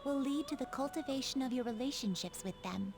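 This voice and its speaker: High-voiced solemn young attendant. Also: female voice. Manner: formal, measured. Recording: music behind the voice.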